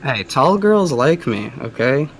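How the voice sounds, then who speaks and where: Hesitant man with a soft, feminine voice. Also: medium pitch, big pitch swings, slow pace, over a noisy crowd. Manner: hesitant, rambling, lots of fillers. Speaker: caller. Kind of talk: casual phone call.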